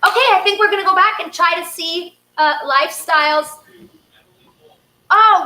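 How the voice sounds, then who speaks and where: A woman comedian hosting a show with casual, animated, conversational storytelling. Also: high-pitched. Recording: roomy echo, faint noise.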